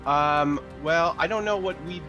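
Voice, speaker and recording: A man's voice: a little high, a little slow, bright, forceful tone. Man, tabletop game player, casual chat, dull lo-fi recording, faint music behind.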